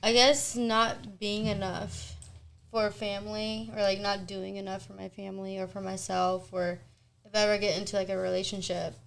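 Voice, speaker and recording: A woman's voice: mid-range voice, level tone, moderate pace, creaky. An American woman co-hosting a casual chat, speaking informally. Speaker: co-host.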